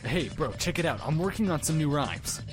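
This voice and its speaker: An actor playing a cool, cocky teenage amateur rapper with a laid-back, slangy manner in a web audio drama. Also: male voice, a little high, expressive, a little fast, loud music behind the voice. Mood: nonchalant.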